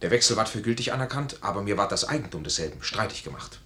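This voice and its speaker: Stubborn honour-bound major, forceful tone, formal declamation, 1950s radio drama, roomy vintage recording. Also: male voice, lowish voice, expressive, fast talker. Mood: bitter, explaining. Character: proud, honour-bound discharged army major, wounded and stubborn. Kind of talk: 1950s German radio play acting.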